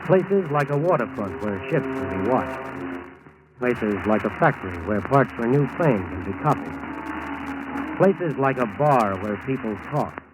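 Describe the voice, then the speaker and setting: Man as grave radio narrator over loud music. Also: medium pitch, expressive, measured pace, natural voice, rough recording. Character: grave, matter-of-fact narrator of a spy story. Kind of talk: 1940s radio dramatic narration. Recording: old 1940s radio recording, music behind. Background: prominent music.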